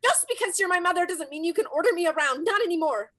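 Woman acting as high-voiced defiant young daughter. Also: lively intonation, quick pace, fairly bright tone. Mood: defiant, upset. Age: young adult. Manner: emotional, argumentative. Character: defiant grown daughter, young ballerina standing up to mother. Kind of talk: dramatic staged reading over video call. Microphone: video call at desk distance.

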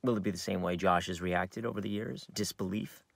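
An American actor in his thirties playing a bitter, wounded screenwriter in a theatrical, halting dramatic monologue. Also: deep voice, fairly even intonation, vocal fry, no room sound. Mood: wounded, brooding. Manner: theatrical, halting, shifting from hushed to outbursts. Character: bitter, wounded screenwriter brooding over his twin brother. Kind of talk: dramatic monologue in a staged reading, on book. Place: inside a parked car.

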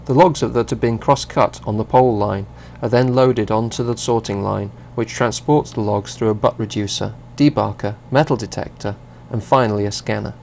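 A man reading a scripted narration for a company video in an even, measured way. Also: fairly low pitch, creaky, slight background noise.

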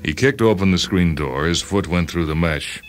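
A man reading a short story as a radio host, in measured, expressive dramatic narration. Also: sits low. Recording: music under the voice. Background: music.

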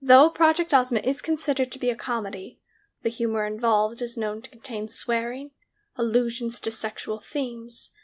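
A woman announcer reading a scripted podcast introduction and content warning. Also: a little high.

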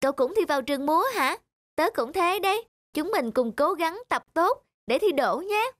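A woman's voice: very high voice, animated, normal speed, noisy background. A woman voicing a kind little girl who studies ballet, sweet and eager. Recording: music playing underneath.

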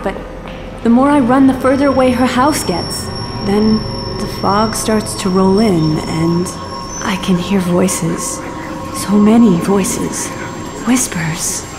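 An actress plays an anxious, haunted woman in an audio drama. Her voice has a middling pitch and a hesitant delivery, with clear music behind it. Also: natural voice. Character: haunted, anxious young woman recounting nightmares.

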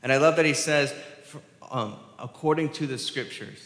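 A man's voice: mid-range voice, normal speed, a bit bright. An American man preaching a conversational sermon as a pastor, informal and explanatory, driving points home with repetition. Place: large church sanctuary, at the pulpit. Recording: echoey church hall, long reverb.

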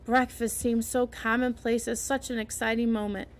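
A woman's voice: on the high side, flat delivery, moderate pace, lightly acted. Woman doing Anne, a gushing girl. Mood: rapturous. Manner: breathless, rapturous, exclamatory. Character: dreamy, gushing young orphan girl.

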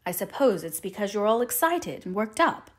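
A woman voicing a stern, practical older female guardian in an audiobook, brisk and matter-of-fact. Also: medium pitch, very animated, lightly acted. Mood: disapproving. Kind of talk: audiobook character voice.

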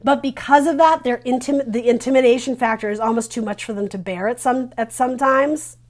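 A woman's voice: a little high, a little fast, a little mellow. A woman in her thirties giving a casual tarot reading, talking informally and reassuringly as she thinks aloud. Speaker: tarot reader hosting a video reading. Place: small decorated room indoors. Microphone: lavalier clipped to T-shirt.

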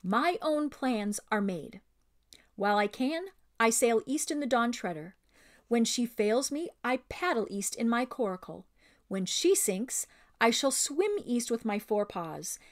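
A woman's voice: fairly high pitch, expressive, a little slow, pitched above the performer's own voice. A woman voicing a small, valiant male talking mouse knight in a storybook, formal, resolute and grandly declarative.

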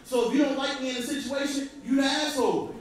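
High-voiced man, very echoey club. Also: fairly even intonation, fast, a loud crowd. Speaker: stand-up comedian. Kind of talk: stand-up set to a club audience. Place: large comedy club stage. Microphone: handheld stage mic. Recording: very echoey, mic far off.

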